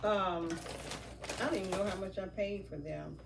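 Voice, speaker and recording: A woman's voice: medium pitch, moderate pace, vocal fry, far from the mic. A woman with a stuffy nose chatting casually in an unboxing vlog, rambling with many fillers. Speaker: vlogger. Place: outdoors near a garden. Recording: noisy, from off mic.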